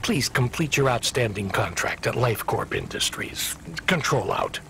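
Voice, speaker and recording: An officious dispatcher, lively pitch. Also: male voice, measured pace, gravelly, heavily acted. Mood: stiff, official. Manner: hesitant, officious. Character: evasive male delivery dispatcher in a sci-fi city. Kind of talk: video game voice acting, radio dispatch. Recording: noisy vehicle ambience behind.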